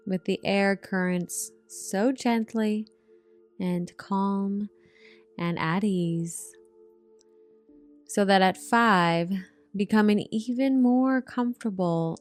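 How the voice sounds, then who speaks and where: A woman narrating a guided meditation in a soothing, gentle manner, with drawn-out hypnotic phrasing. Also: mid-range voice. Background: relaxation music.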